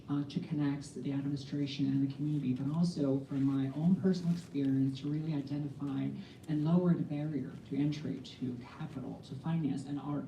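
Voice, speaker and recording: A man on a business committee introducing himself at a meeting in a measured, formal way. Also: fairly high pitch, warm tone, a little hiss or hum. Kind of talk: prepared self-introduction. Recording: muffled, echoey room, distant mic.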